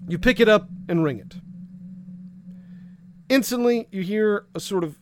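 A man with a fairly high voice narrates a noir adventure to his players as game master. He speaks slowly and deadpan, with a wry edge. A faint noise sits under the recording. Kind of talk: second-person noir narration.

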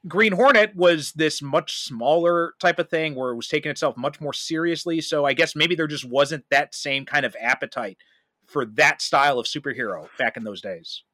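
A man with a fairly high, very bright voice hosts a podcast in a casual, conversational way. He discusses pop culture as if chatting with a friend. The recording is close and dry, free of room sound. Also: normal speed, no echo. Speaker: podcast host.

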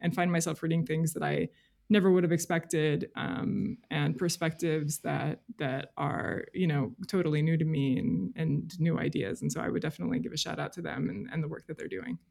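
Female interview guest, strong vocal fry, mid-low conversational register, thoughtful and halting delivery. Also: steady tone, a little mellow. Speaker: podcast guest, journalist.

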